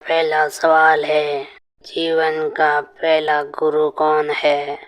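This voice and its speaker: A man's voice: on the high side, completely flat delivery, drawn-out words, a bit bright, clear, resonant tone. A man playing the stern ghost of a bandit guarding treasure in a cartoon fairy tale, speaking solemnly and with menace. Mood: grave, testing.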